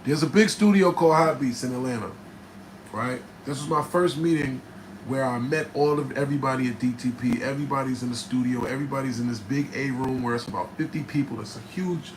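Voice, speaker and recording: A man from New Jersey, a rapper, telling a studio story in casual, profane style with a raspy voice, often asking "right?". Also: mid-range voice, fairly quick. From New Jersey, USA. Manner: animated, profane, keeps checking in with "right?". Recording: noticeable background noise.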